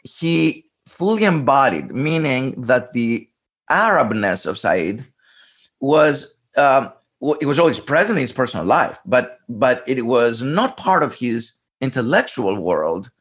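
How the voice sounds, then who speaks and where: A male scholar speaks in a documentary interview, thinking aloud as he explains. His pitch rises and falls in a lively way, and he often restarts a phrase with small fillers. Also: husky. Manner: thoughtful, halting, many restarts and fillers.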